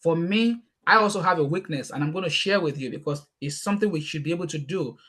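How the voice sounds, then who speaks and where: A Nigerian-accented man, Yoruba by first language, answering questions on a panel. His voice sits a little high, and he talks fast and emphatically, repeating words when he gets excited. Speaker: guest speaker.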